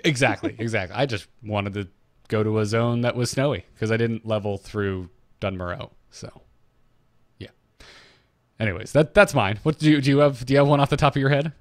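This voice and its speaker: A man's voice: fairly low pitch, fairly even intonation, a little fast, dry recording, studio quality. A man co-hosting a podcast in an informal, casual chat style, with the odd mild swear. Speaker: podcast co-host.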